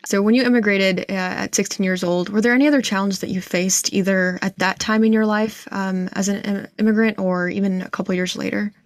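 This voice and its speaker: A woman interviewer asking a question in a measured way. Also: mid-range voice, steady tone, no room sound, studio quality.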